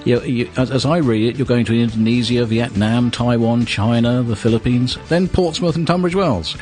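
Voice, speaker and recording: A man's voice: mid-range voice, moderate pace, a little mellow, faint music behind. An English man speaking courteously as a radio host conducting an interview. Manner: courteous, affirming.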